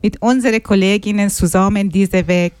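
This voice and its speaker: Woman, from Afghanistan, learner's German, careful answers, smooth voice, dry close sound. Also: mid-range voice, fairly even intonation, a little fast, darkish tone, dry recording. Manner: careful simple learner's German, searching for words. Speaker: interview guest. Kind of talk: radio interview answers.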